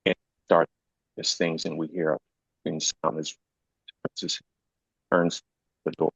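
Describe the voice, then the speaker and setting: Very deep, slow, husky man in echoey room. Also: flat delivery. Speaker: actor reading stage directions. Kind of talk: scripted narration at a live table read. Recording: muffled, echoey room pickup.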